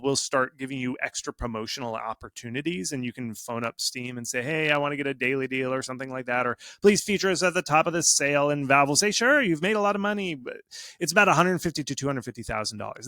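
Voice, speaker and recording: A man giving casual, animated explanations as an expert guest in an interview, speaking informally and with emphasis. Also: medium pitch, a little fast, dry recording, studio quality. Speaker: video game marketing expert, interview guest.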